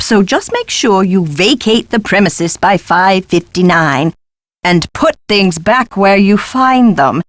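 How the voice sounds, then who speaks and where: A woman's voice: medium pitch, dry recording. An actress playing an eccentric, fussy woman scholar in an adventure game, with gushing, pompous, theatrical delivery and precise diction. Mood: effusive. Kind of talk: scripted video game dialogue.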